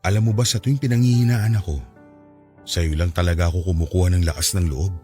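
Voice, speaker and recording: Actor as deep-voiced, devoted Filipino farmer husband. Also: male voice, fast talker, mellow, faint music behind, lightly acted. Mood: apologetic, grateful. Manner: earnest, affectionate. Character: aging, hardworking, devoted farmer husband, strict sweet father. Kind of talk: Tagalog radio drama acting.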